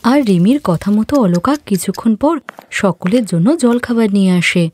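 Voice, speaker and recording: A woman's voice: medium pitch, expressive, moderate pace, a little mellow. A woman narrating a scripted story as its storyteller.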